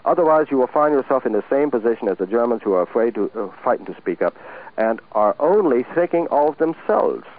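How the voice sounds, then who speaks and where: A man speaks earnestly and deliberately as a guest in a political radio interview. English is not his first language. His mid-pitched voice comes through a thin, tinny broadcast line. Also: expressive. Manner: earnest, deliberate, translating quotes aloud.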